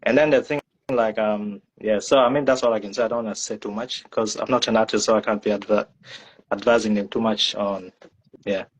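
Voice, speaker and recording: Man from South Sudan, lowish voice, casual guest, hedging fillers. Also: fairly even intonation, fairly quick, husky, rough recording. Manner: hedging, heavy use of "like" and "you know". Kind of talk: casual interview answers.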